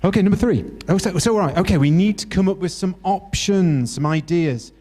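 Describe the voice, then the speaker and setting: British male facilitator, mellow, encouraging, halting delivery, faint mains hum. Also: high-pitched. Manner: encouraging, halting with many restarts. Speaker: workshop facilitator. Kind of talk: interactive workshop teaching. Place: university hall workshop.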